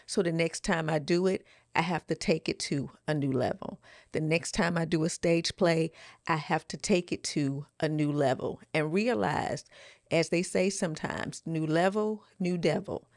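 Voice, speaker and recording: A woman's voice: deep voice, moderate pace, creaky, darkish tone, no room sound, clean studio sound. A woman co-hosting a podcast, giving an earnest, encouraging motivational talk. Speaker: podcast co-host.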